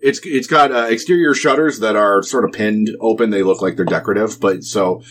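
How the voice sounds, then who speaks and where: A man narrating a tabletop role-playing game as game master, explaining scenes in a halting, explanatory way. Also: on the low side, fairly bright tone.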